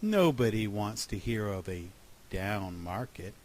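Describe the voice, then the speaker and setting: A man acting out an excitable, boastful storybook groundhog. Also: fairly low pitch, a little slow, slight background noise, pitched below the performer's own voice. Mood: self-assured. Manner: boastful, exclaiming. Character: excitable, self-important male groundhog forecaster. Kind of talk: animal fable storytelling.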